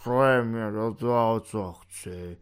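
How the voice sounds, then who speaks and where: A man's voice: medium pitch, expressive, natural voice. A man voicing a slurring drunk army chaplain. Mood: belligerent. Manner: slurred, whining, rambling drunk speech. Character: drunk, maudlin middle-aged male army chaplain. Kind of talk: audiobook character voice.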